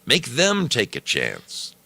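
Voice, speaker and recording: A man voicing a defiant, aggrieved wife and mother who complains loudly and indignantly. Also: mid-range voice, huge pitch swings, slow pace, creaky, dry recording, pitched above the performer's own voice, exaggerated voice. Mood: aggrieved protest.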